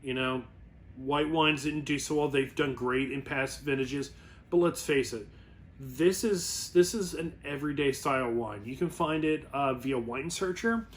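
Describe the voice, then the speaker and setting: A man's voice: mid-range voice, unhurried. A man presenting a wine review in a casual, rambling explainer style, often correcting himself as he goes. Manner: informal, rambling, self-correcting.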